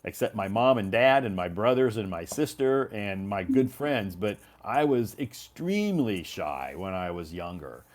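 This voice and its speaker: A man's voice: fairly low pitch, big pitch swings, unhurried, hardly any pauses. A man with an American accent, talking conversationally as an English teacher and encouraging a learner, often repeating his points.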